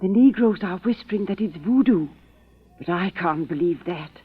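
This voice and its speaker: A grieving, genteel mother pleads politely and anxiously. Her voice is husky, in old radio drama style on a thin, tinny recording. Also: fairly low pitch, animated, moderate pace. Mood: grieving, pleading.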